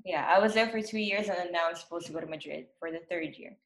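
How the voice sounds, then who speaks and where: Low-voiced young woman from Brazil, echoey. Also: quick pace. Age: young adult. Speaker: university student. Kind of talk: casual panel chat. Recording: echoey room.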